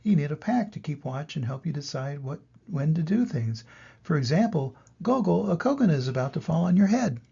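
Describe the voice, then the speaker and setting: Man reading as a gruff, bossy storybook dog. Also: a little high, big pitch swings, brisk, mellow, muffled recording, exaggerated voice. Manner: gruff, assertive.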